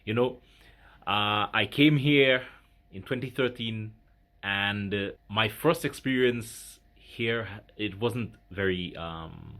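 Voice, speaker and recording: A man in his thirties from Grenada, talking reflectively to his viewers in a halting, casual way with many fillers. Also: lowish voice, a bit bright. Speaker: vlogger. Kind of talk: casual talk to camera.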